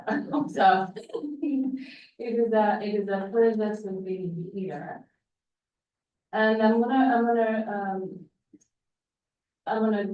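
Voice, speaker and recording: A woman's voice: medium pitch, mellow. A woman from Uganda in her forties, whose first language is Luganda, tells a personal story at a conference and often restarts her phrases. She is picked up from a distance in a large echoing hall, and the sound is dull and muffled. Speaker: panel speaker. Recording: echoey hall from far off, dull.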